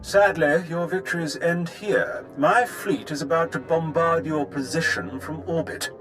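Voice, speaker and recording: A man playing a smug, gloating Imperial villain commander in a video game, mocking and theatrical with savouring pauses. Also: fairly high pitch, fairly bright tone, faint music behind.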